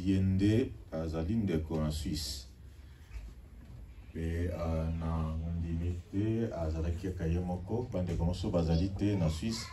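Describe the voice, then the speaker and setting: A man's voice: deep voice, dark tone. A man giving formal church announcements and leading call-and-response during a service. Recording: distant mic with faint noise.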